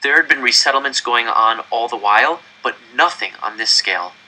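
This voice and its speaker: A man reading a novel aloud as scripted narration, with measured, expressive first-person storytelling. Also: medium pitch, low-quality recording. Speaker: audiobook reader.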